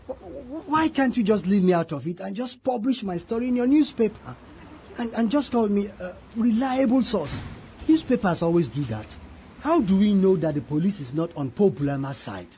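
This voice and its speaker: An actor with a Nigerian accent playing a frightened, reluctant informant in a radio drama, nervous and stammering. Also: male voice, sits high, lively intonation, moderate pace, mellow, slight background noise. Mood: fearful, pleading.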